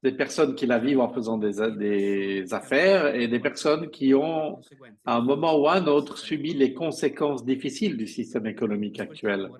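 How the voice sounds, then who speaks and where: Male conference interpreter, halting delivery. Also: medium pitch. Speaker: simultaneous interpreter. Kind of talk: live interpreting of conference speeches.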